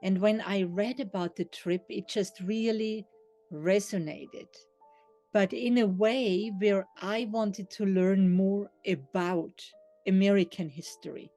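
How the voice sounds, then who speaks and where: Slow, mellow woman. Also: medium pitch, soft background music. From Austria. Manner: slow, thoughtful and earnest. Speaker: interviewee, life coach. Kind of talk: reflective testimony.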